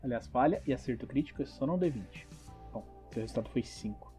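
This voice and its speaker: A man with a mid-pitched voice runs a tabletop role-playing game. He narrates scenes as game master, with faint music playing underneath. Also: lively intonation, brisk, mellow.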